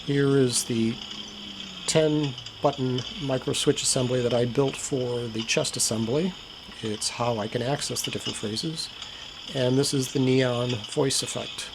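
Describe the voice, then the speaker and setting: A man's voice: mid-range voice, steady tone, unhurried, gravelly. A man giving a casual, matter-of-fact demonstration as a hobbyist, recorded at an indoor workbench. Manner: plain-spoken, matter-of-fact. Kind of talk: casual demo narration. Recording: noisy home camera recording.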